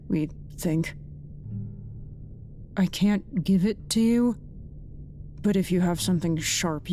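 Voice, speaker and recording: A woman acting in an audio drama as a blunt, guarded, quietly caring colleague, speaking plainly and earnestly. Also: deep voice, a little hiss or hum. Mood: matter-of-fact.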